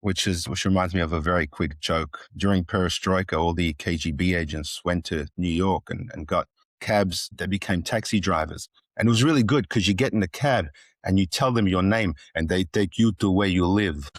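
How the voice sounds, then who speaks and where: Deep-voiced Australian man in his fifties. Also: moderate pace, no room sound, studio quality. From Moldova. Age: 50s. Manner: rambling, jokey, many restarts. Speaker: guest. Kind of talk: casual interview chat.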